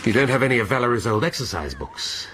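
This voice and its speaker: A sardonic weary police inspector. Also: male voice, mid-range voice, big pitch swings, fast talker, fairly bright tone, slight background noise. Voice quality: dry, wry and sardonic. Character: wry, weary older male police inspector. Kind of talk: radio drama acting.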